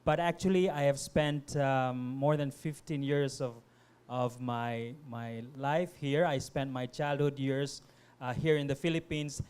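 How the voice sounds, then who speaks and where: A middle-aged man from Indonesia, a guest on a panel talk, speaking haltingly with many fillers and restarts. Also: mid-range voice, steady tone, a little mellow, clean tone. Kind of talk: panel conversation.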